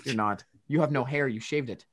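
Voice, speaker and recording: A man's voice: mid-range voice, fairly quick, fairly bright tone, a little hiss or hum. A man co-hosting a comedy podcast, teasing the guests and playfully mangling their names. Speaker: co-host.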